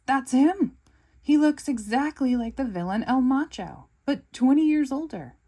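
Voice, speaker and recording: A woman reading a storybook aloud, voicing an excitable, bald male ex-villain turned spy who talks high and loud. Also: unhurried, heavily acted. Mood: excited.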